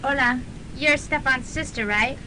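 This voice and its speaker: High, bright, sly college girl, acted by a woman. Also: a little slow, slight background noise, heavily acted, low-quality recording. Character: demon-possessed college girlfriend, sly and menacing. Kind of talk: student radio comedy drama acting.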